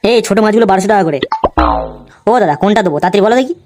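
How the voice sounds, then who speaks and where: A woman's voice: mid-range voice, expressive, racing, music in the background, lightly acted. A woman voicing a brash, foul-mouthed cartoon character who scolds and demands with crude sarcasm, over faint background noise. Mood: pushy. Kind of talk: comic cartoon dialogue.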